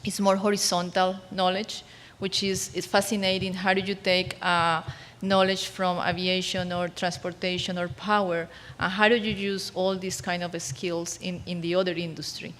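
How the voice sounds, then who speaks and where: A woman from Colombia answering questions on a conference panel, halting with many restarts. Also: on the low side, flat delivery, a bit bright. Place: conference hall. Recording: roomy hall with faint noise.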